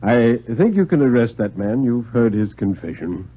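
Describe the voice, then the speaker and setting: A man voicing a composed, courteous titled gentleman investigator, formal and measured, in a radio thriller reading. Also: medium pitch, sing-song. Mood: quietly satisfied. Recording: lo-fi 1950s radio recording.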